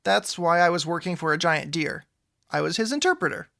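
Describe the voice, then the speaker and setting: An actor playing a troubled, awkward, wry man with supernatural troubles in an audio drama, his voice creaky. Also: fairly high pitch, a little fast, very noisy. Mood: wry, friendly.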